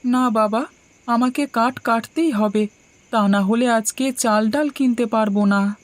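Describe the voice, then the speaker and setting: A woman's voice: on the high side, measured pace, lightly acted. A woman voicing an obedient, hardworking poor boy in a cartoon fairy tale, speaking earnestly and politely. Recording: music bed under the voice.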